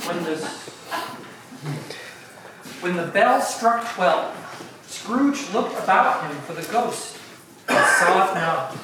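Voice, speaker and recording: A man playing a wry storybook narrator in an amateur dramatic reading. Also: high-pitched. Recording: distant echoey room, noise, clicks.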